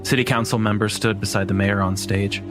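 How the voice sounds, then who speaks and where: Man, low voice, scripted horror story narration, music bed clearly underneath. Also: steady tone, brisk.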